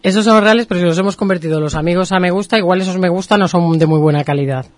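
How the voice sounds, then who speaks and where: A woman's voice: lowish voice, rapid-fire, dry recording. A woman with a Spanish accent from Spain, a marketing professional explaining things patiently on a radio show. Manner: explains patiently, with lively examples. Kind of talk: explanatory interview talk.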